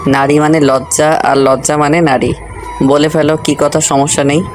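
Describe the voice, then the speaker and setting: Man acting as strict male college teacher, calm, flat intonation, measured, dismissive, audio romance drama, soft music behind. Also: fairly high pitch, lightly acted. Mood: calm, resigned. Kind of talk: audio love story drama.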